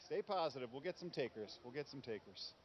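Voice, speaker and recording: An actor playing a relentlessly upbeat, oblivious coach with a brittle temper, full of forced cheer in a screen comedy. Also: male voice, mid-range voice, animated, a little fast, dull audio, a little hiss or hum, lightly acted. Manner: forced cheer, pep-talking.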